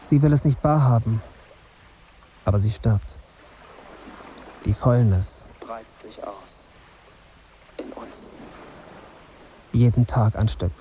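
A dazed, gloomy young man thinking aloud, played by an actor. His voice is very dark and soft-edged, brooding and terse, in a radio drama with slightly dull sound and faint noise. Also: medium pitch, slow, pauses often, lightly acted. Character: dazed, gloomy young male journalist narrating inwardly. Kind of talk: radio drama interior monologue.

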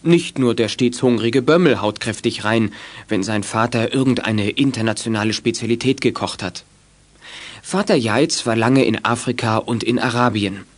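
Male storyteller with medium-pitched voice. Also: moderate pace. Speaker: narrator. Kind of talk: scripted children's radio play narration.

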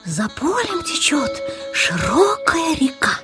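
A woman voicing a weary, modest, gentle butterfly in a children's audio play, with a plaintive, sighing, polite manner. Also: very high voice, very animated, takes it slowly, music in the background, full character voice. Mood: earnest.

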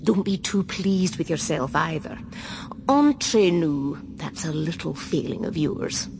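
Strict old headmistress for radio drama, creaky n slow, preachy, noisy background. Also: female voice, sits low, lively intonation, exaggerated voice. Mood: solemn admonishing. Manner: measured, admonishing, precise. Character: stern older English headmistress, exacting and sententious.

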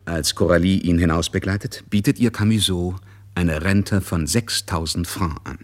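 A man narrating a literary radio play in a measured, detached manner. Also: low voice, fairly even intonation, close to an everyday voice.

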